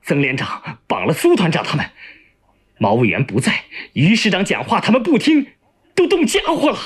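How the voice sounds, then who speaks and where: Casting: a narrator as flustered, outraged male staff officer, urgent delivery, jumping pitch, husky, audiobook war story. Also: very animated, moderate pace. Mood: agitated. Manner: urgent, agitated exclaiming. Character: alarmed, indignant male army chief of staff.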